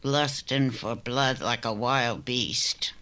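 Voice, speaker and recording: A man acts out a bitter frontier widow bent on revenge. The delivery is slow and cold, with a hard, bright edge, in old radio western style. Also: medium pitch, fairly even intonation. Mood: bitter, accusing. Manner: cold, accusing, deliberate. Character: bitter, vengeful young widow of a gunfighter. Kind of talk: old-time radio western drama acting.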